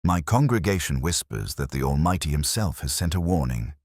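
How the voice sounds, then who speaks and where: A man's voice: very deep voice, very animated, brisk, darkish tone, no room sound, studio quality, heavily acted. A man playing an indignant, pompous, defiant clergyman in a mystery story. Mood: indignant complaint.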